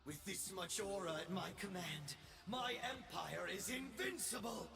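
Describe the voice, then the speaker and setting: A megalomaniac young emperor from a video game, gloats in a theatrical, high voice that leaps wildly. He is slow and imperious, dropping from shouts to hushed menace, with music behind him. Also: expressive, gravelly, distant mic, full character voice. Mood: grandiose, gloating. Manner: grandiose, arrogant, swinging between shouts and hushed menace. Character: megalomaniac young self-crowned space emperor, gloating and unhinged.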